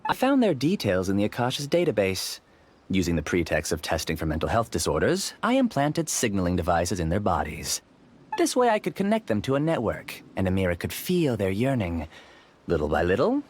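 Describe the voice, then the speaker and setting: A deep, courteous, calculating scholar. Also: male voice, huge pitch swings, fairly quick, darkish tone. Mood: wistful, confessional. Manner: courteous, theatrical, smooth-talking. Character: polite, calculating male scholar, obsessed and grieving. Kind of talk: video game voice acting.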